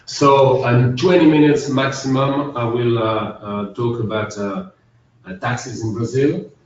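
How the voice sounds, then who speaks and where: A man's voice: mid-range voice, steady tone, measured pace, a little mellow. A man with a French accent, an accountant giving a methodical, structured webinar presentation. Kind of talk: business presentation. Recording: echoey, distant, dull webinar audio.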